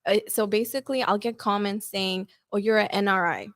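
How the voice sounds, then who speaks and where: Young female creator guest, lively casual storyteller, mid-range voice, dry sound. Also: medium pitch, fairly quick, no room sound. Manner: chatty, animated storytelling with "like" fillers. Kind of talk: casual podcast interview.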